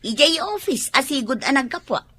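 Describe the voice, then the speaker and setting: A woman's voice: high voice, lively intonation, fairly quick, bright, forceful tone, no echo. A woman with an Ilocano accent acting an assertive, fed-up working wife in a radio drama. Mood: firm.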